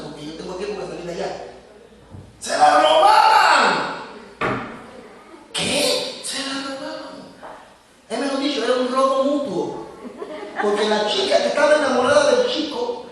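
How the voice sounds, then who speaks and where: A man's voice: extremely high pitch, a bit bright. A man preaching a sermon as a pastor, talking directly to his congregation in a conversational way. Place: church hall. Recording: echoey hall from far off.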